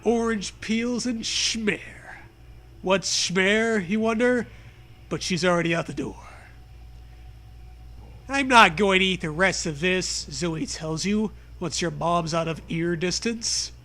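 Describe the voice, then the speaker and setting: Male comedy reader, high and crisp-edged bright voice, unhurried, mock-dramatic with playful banter. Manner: mock-dramatic, breaks into laughter while reading. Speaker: comedy show host. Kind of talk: comic read-aloud of parody fiction with banter.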